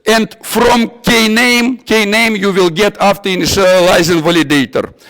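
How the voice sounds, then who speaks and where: A man with a non-native English accent giving an informal technical workshop, halting and repeating himself as he searches for words. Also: sits high, fairly even intonation, fairly bright tone. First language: not English. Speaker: workshop instructor. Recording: echoey hall with long reverb.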